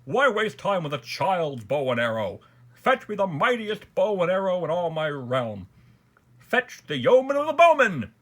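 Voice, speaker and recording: A man voicing a blustering, impatient storybook king who commands and exclaims loudly. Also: on the high side, very animated, unhurried, voice pushed up, full character voice. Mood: commanding.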